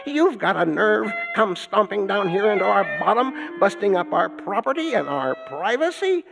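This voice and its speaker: A man doing a squeaky, stammering rabbit. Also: sing-song, moderate pace, gravelly, in a large hall, over music, exaggerated voice. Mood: indignant. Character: indignant squealing male rabbit.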